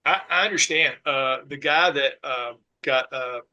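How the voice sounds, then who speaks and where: A man, a podcast guest talking casually and haltingly, with many "uh"s and "you know"s. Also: mid-range voice, sharp tone, muffled recording. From Southern US. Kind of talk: casual conversation.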